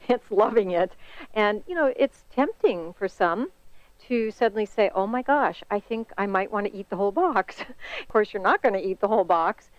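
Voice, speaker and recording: A woman's voice: medium pitch, no echo. A woman hosting a promotional conference call, leading into questions in an articulate, encouraging and wordy way, on slightly dull call audio. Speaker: host, interviewer.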